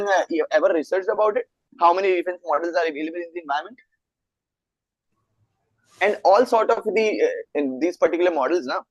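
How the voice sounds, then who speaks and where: A young man with an Indian accent, whose first language is Hindi, teaches an online networking class. His voice sits a little high, and he often stops to check that his students follow. The sound is dry and slightly muffled, like a call mic. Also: no room sound. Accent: Indian English. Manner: explanatory, asks students frequent check questions. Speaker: networking instructor. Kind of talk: live online class lecture.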